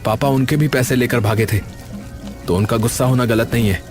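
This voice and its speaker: An actor playing an earnest, dutiful young village son in an animated story. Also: male voice, medium pitch, brisk, over music, lightly acted. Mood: pleading. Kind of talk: animated story character acting.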